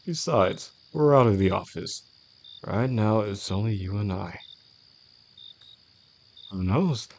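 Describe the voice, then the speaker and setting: A man playing a possessive, menacing boss in a romantic audio roleplay, speaking in a controlled, formal way with a gravelly voice. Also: on the low side, a little hiss or hum. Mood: flirty, warm. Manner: controlled, deliberate, formal wording. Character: possessive, menacing male boss obsessed with an employee.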